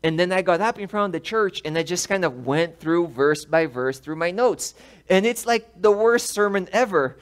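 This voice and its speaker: A man's voice: sits high, moderate pace, clear, resonant tone. A man in his thirties from Brazil, a pastor telling a personal story in a sermon in a large church, speaking through a headset mic. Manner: animated, gestures while speaking. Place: large church sanctuary, indoors.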